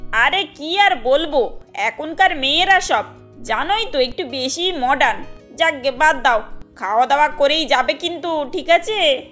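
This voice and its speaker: Very shrill scolding mother-in-law played by a woman. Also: animated, measured pace, a bit bright, soft background music. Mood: dismissive. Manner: indignant, lamenting, reproachful. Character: scolding, old-fashioned mother-in-law, middle-aged or older woman. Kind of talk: animated family story dialogue.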